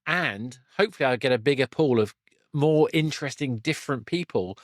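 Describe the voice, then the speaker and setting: A man hosts a casual interview in a medium-pitched voice. The sound is dry with little room, and he talks conversationally, often stumbling and rephrasing as he goes. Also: lively intonation, a little fast, dry recording. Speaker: podcast host, interviewer.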